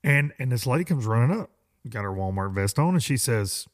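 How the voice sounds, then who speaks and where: Hoarse man hosting a podcast. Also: medium pitch, expressive, a little fast. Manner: folksy, self-deprecating, rambling with restarts. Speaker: podcast host. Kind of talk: casual rambling monologue.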